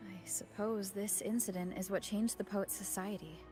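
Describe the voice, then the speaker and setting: A woman voicing a serious, observant young female adventurer in measured, matter-of-fact game dialogue. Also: mid-range voice, steady tone, close to an everyday voice. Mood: pensive. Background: game music.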